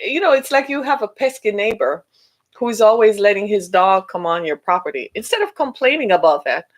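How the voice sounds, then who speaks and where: Middle-aged woman hosting a podcast, chatty and a bit hoarse, keeps checking in with "right?". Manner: chatty, asks listeners "right?"; animated, rising pitch when mocking. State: losing her voice. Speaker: podcast host. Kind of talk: solo podcast talk.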